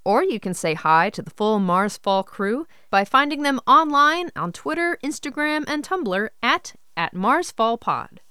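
A woman's voice: a little high, very animated, unhurried, few pauses, pushed and bright, smooth, no echo, clean studio sound. A woman hosting an audio show, reading scripted introductions and announcements in an upbeat way straight to the listeners. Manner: upbeat, addresses listeners directly. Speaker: radio show host.

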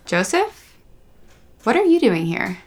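A woman's voice: fairly high pitch, sing-song, slow pace, full character voice. An actress playing a direct, guarded farm woman in her late thirties, with a pointed manner, in an audio drama. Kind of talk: naturalistic audio drama dialogue.

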